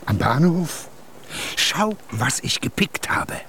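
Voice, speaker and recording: A man playing a kindly, eccentric paper picker with a gentle, quirky manner in a German children's radio play. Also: on the high side, sing-song, slow, slight background noise, full character voice. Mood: kindly, encouraging.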